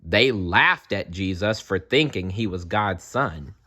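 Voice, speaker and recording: A male storyteller reads scripted children's narration aloud. His voice sits a bit low and moves widely in pitch. He takes his time and delivers each line with dramatic expression. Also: animated, takes it slowly, sharp tone.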